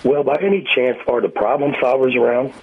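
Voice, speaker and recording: An older man calling in to a radio show, a little hoarse, chatting politely in a folksy way. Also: mid-range voice, fairly quick, phone-quality sound. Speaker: phone-in caller.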